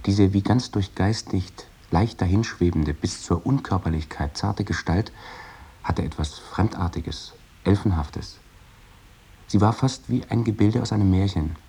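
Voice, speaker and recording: A male reciter of old memoirs in a radio drama. His voice is deep and rough-grained, with a husky edge. The delivery is measured and reflective, shaped around ornate, old-fashioned literary sentences. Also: darkish tone, full character voice.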